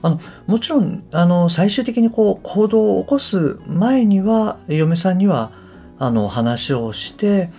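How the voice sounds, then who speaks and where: A middle-aged man hosts a casual solo podcast in a reflective, tentative way. His pitch rises and falls in a lively pattern. Soft guitar music plays behind his voice. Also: slow pace. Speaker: podcast host and English coach.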